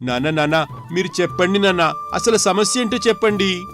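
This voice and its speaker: A man voicing a mischievous, idle young son who pleads eagerly with his parents in an animated story. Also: high-pitched, a little fast, music in the background, lightly acted. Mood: pleading. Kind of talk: animated moral story dialogue.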